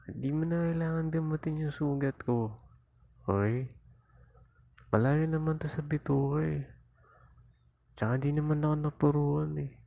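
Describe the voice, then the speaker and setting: A man's voice: fairly high pitch, steady tone, measured pace, vocal fry, long pauses, warm tone, no echo. A Filipino man playing a jealous, possessive, sulky and playfully whiny young boyfriend in an improvised ASMR roleplay. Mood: boastful, brushing off. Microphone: lapel mic into a phone, close.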